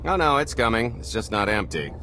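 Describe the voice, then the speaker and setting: A roguish game smuggler with a fairly low voice that swings about expressively. He is wry and cocky, a wisecracker with a taste for riches. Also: very animated, fairly quick, bright, forceful tone, slight background noise, heavily acted. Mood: wry urgency. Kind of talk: video game cutscene acting.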